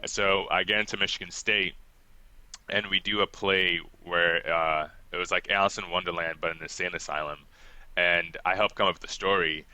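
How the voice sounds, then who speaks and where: A young man from Ghana speaks in a very low voice with a sharp, bright edge. He talks loosely and easily, with lots of fillers. The sound is dry and close. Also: normal speed, clean tone, no echo, rough recording. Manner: relaxed and chatty, laughs often, many "like" fillers. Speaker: guest. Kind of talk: casual interview talk.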